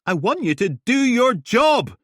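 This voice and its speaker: A man playing a shrill young mother pushed into a corner, her voice high and loud, flinging accusations. Dry and close in a storybook reading. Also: sing-song, a little slow, no room sound, heavily acted. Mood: angry. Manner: shouting, accusatory.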